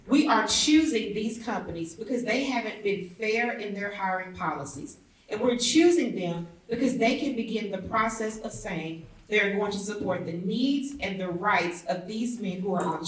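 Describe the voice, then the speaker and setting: Woman reading a speech, echoey and distant. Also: mid-range voice. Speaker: reader at a commemorative event. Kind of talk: public reading of a historic speech. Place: indoor theatre event. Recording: echoey room, distant mic.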